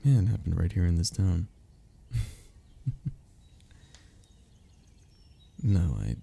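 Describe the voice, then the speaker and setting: A young man playing a wistful, lovestruck childhood best friend in an intimate audio roleplay, speaking in a halting, sighing, confessional way. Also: low voice, very animated, gravelly, dark tone, husky, full character voice. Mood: confessing, apologetic. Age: young adult.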